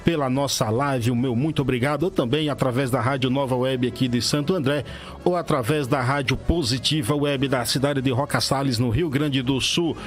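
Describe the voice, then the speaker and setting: A man hosting a radio interview show, giving quick, enthusiastic announcements and asking questions. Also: mid-range voice, faint music behind.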